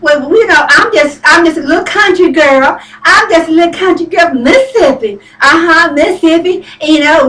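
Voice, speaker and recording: A woman's voice: sits high, fairly bright tone, echoey room, distant mic, voice pushed up. A woman playing a chatty Southern country farm wife with a folksy drawl, rambling with fillers in a comic monologue.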